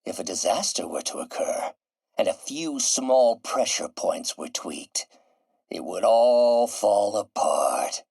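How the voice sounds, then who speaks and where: A man playing a brilliant, embittered middle-aged scientist turned villain in a video game, speaking in a theatrical, deliberate, articulate way with a husky, breathy voice. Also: on the low side, animated, darkish tone, exaggerated voice. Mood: brooding menace. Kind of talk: dramatic video game monologue.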